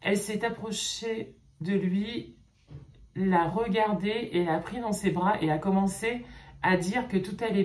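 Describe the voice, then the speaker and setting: A woman YouTuber reading fan fiction aloud and pausing often to comment, in a chatty manner. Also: medium pitch, a little mellow. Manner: chatty, reading slowly, with frequent "ok" and hesitations. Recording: roomy echo.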